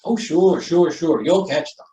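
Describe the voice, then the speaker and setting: A man's voice: fairly high pitch, unhurried, dark tone, natural voice. Man playing an exasperated editor, echoey and distant. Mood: sarcastic exasperation. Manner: sarcastic, blustering. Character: exasperated, sarcastic male newspaper editor. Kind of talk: old-time radio comedy-thriller acting.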